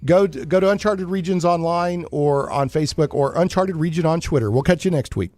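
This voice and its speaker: A man's voice: on the high side, fairly quick. A man hosting a casual live radio interview in a chatty, enthusiastic way. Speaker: radio show host.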